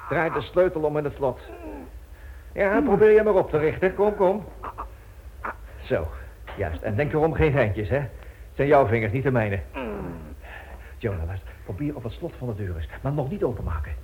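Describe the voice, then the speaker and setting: A man's voice: medium pitch, animated, moderate pace, pauses often, close to an everyday voice. An actor playing a resourceful male captive in a radio drama, giving clipped, urgent orders with coolly menacing politeness. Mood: urgent, hushed. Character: resourceful male captive, commanding, coolly threatening his jailer. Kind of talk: Dutch radio drama acting. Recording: muffled, noisy old radio play tape.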